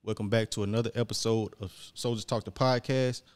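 A man's voice: on the low side, fairly even intonation, fairly quick, darkish tone, clear, resonant tone. Laid-back male podcast host. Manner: laid-back conversational questions. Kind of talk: casual interview. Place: small studio room. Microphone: desk mic on boom, headphones.

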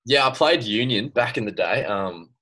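A man's voice: medium pitch, expressive, normal speed, sharp tone. A young Australian man on a video call from his bedroom, chatting casually with lots of fillers and easy laughs. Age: young adult. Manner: laid-back, laughs often, trails off with fillers. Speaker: guest swimmer. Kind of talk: casual chat. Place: bedroom at home. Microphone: laptop webcam on video call.

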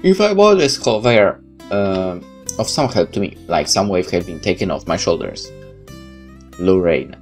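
A man's voice: low-pitched, animated, moderate pace, darkish tone. A man playing a game on camera-free commentary, reading its narration aloud and adding remarks of his own. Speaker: let's player. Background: game music.

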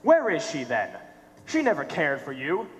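Young man acting a high-pitched, mocking stage villain. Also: huge pitch swings, moderate pace. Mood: bitter, cruel. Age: young adult. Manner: theatrical, mocking. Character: sardonic, taunting showman, cruelly mocking tormentor. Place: theater stage, filmed from the audience. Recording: echoey theater stage, noisy hum.

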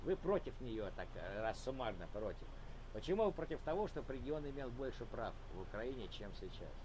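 A man's voice: mid-range voice, sing-song, a little fast, noisy background. A man hosting a casual video chat debate, patiently arguing and repeating his points, in a room at home.

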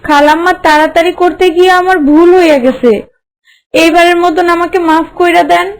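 A woman's voice: very high voice, fairly even intonation, a little fast. A woman voicing a humble orphan housemaid girl in a Bengali cartoon, meek and earnest, with a rural Bangladeshi accent. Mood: apologetic, pleading.

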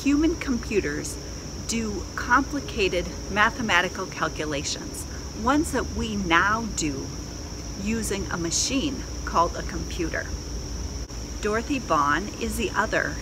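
A middle-aged woman pastor preaches in measured, deliberate phrases. Her voice sits at an ordinary pitch, and there is clear outdoor noise of insects and traffic behind her. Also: animated. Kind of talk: sermon. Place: outdoors in a leafy garden.

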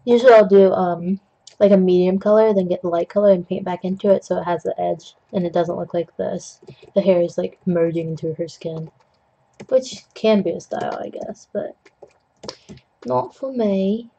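Young husky voice, rambling art-stream chatter. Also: medium pitch, steady tone, moderate pace, mellow, highs cut off. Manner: chatty, self-deprecating, jokey with puns; coughs now and then. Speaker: digital art tutorial host. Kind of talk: rambling casual commentary while painting. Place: indoors at a home desk.

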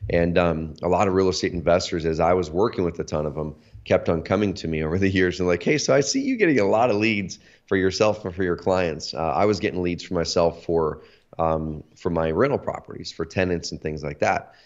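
Deep-voiced man, hoarse from allergies. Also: fairly quick. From Oregon, USA. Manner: energetic, talkative, lots of fillers. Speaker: guest, company CEO. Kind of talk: casual interview. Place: home office, indoors. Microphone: video call. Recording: slightly dull lo-fi video call audio.

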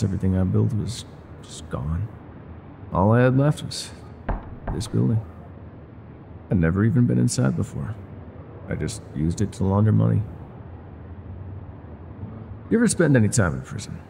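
Male actor as older ex-mafia bar owner, regretful, brooding, fry-heavy voice, intimate ASMR roleplay, audible background noise. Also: fairly low pitch, dark tone. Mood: weary, resigned. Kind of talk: intimate scripted roleplay monologue, ASMR audio drama.

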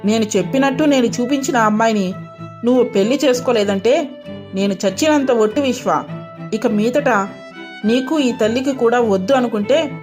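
A woman's voice: a little high, normal speed, over music, pitched below the performer's own voice, lightly acted. A woman voicing a loving, worried and insistent mother of a grown son in a dramatised story, pleading earnestly.

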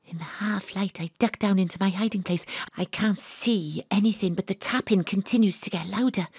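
A woman playing a gruff, dogged private detective who tells her own case in a dramatic, atmospheric audio drama delivery. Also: mid-range voice, moderate pace, darkish tone, no echo. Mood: tense, hushed. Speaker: lead actress and narrator. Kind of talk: first-person audio drama narration.

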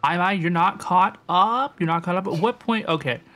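A woman's voice: on the low side, moderate pace, a bit bright. Chatty woman streamer, repeating herself. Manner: repeats phrases, addresses viewers. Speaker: game livestreamer. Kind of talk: casual livestream chat over gameplay. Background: game music.

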